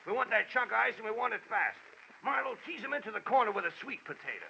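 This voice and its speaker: Sharp, gravelly gangster boss. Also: male voice, high voice, very animated, fast talker, heavily acted. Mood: menacing. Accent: American. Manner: barking orders, slangy. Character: tough, bullying small-time gangster boss, man. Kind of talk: 1940s radio crime drama acting. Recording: muffled, noisy old radio recording.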